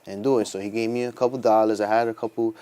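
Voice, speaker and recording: Young man, clear smooth voice, casual. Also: lowish voice, steady tone, fast talker, mellow. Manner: informal, street-casual, frequent "like" and "you know". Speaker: interview guest, small business owner. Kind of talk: casual chat.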